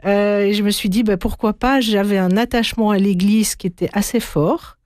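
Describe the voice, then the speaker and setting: A middle-aged woman raised in Belgium, answering interview questions with many pauses and fillers. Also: mid-range voice, steady tone, no echo, clean studio sound. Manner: warm, hesitant, many fillers. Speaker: guest.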